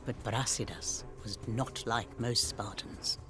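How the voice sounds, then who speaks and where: A man's voice: mid-range voice, fairly even intonation, slow pace, music in the background, natural voice. A man narrating a historical strategy game as a dramatic storyteller of ancient campaigns. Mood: measured storytelling. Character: male storyteller recounting ancient Spartan campaigns.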